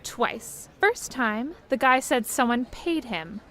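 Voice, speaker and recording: A woman playing an effusive, chatty caravan boss in a post-apocalyptic audio drama, friendly and brisk but watchful. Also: on the high side, animated, pushed and bright, full character voice. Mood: teasing, wary.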